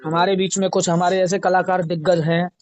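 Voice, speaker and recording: A man's voice: high-pitched, level tone, a little fast, darkish tone, dry recording. A man chats casually over the phone. The sound is dull and lo-fi, with no room around the voice. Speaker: religious storyteller and singer with a studio. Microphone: phone call. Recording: dull lo-fi recorded phone call.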